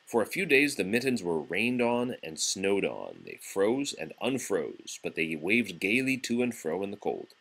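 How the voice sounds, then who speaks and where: A man reads a children's book aloud in a low, husky voice. His delivery is very animated and expressive, yet patient and encouraging, as if for a small child beside him. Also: measured pace, few pauses, rough recording. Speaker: parent reading a picture book aloud to a young child. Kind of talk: storybook read-aloud.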